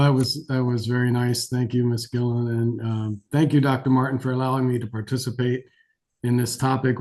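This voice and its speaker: Mellow, scratchy-voiced man lecturing with a cold. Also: mid-range voice. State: has a cold. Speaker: geriatric physician giving a lecture. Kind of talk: webinar lecture, conversational.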